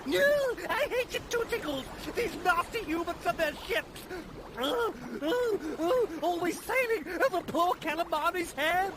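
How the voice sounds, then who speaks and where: An actor voicing an agreeable, simple-minded sea monster sidekick in a video game, folksy and repeating himself. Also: male voice, very high voice, lively intonation, a little slow, crowd noise, rough recording. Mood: whiny, gleeful. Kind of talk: cartoonish video game voice acting.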